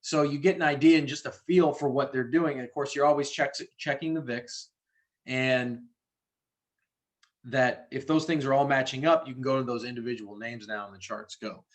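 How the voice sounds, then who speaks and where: A man with a medium-pitched voice hosts a call for traders. He talks in an informal, conversational way, like live commentary, and often circles back to rephrase what he is explaining. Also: steady tone, normal speed. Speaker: trading call host, trader.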